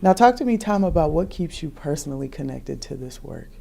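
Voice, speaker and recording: A woman's voice: sits low, animated, a little fast, creaky, mellow, husky. A woman hosting an interview, asking questions and responding to the guest. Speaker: host, interviewer.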